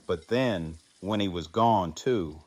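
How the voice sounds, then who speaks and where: A man playing a grieving, reflective widowed survivor in an audio drama, speaking in a halting, subdued manner. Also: low voice. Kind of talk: fan audio drama acting.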